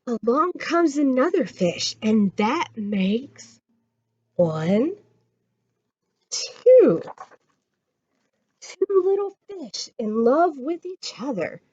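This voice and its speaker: Casting: American woman as children's storytime reader, very slow and encouraging, widely swooping pitch, dull lo-fi sound. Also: sing-song, darkish tone, breathy edge, no echo. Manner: sing-song, encouraging, addresses children directly. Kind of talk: children's read-aloud and counting rhymes. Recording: dull lo-fi audio.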